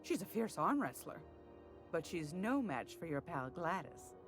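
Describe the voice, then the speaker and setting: An actress playing a wry, gossipy, chummy wheeler-dealer in a video game. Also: female voice, fairly low pitch, very animated, a little slow, soft background music. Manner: chatty, wry.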